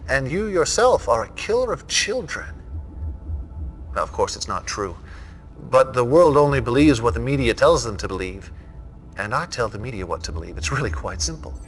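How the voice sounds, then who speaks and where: An actor playing a smug, menacing cult leader in a video game cutscene, taunting in a deliberate, theatrical way. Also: male voice, medium pitch, fairly bright tone, natural voice.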